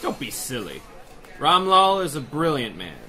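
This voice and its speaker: A man's voice: on the high side, big pitch swings, moderate pace, pushed and bright, exaggerated voice. An actor playing a self-assured male police commissioner, in 1930s-style radio drama acting. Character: confident male police commissioner, brisk and sure.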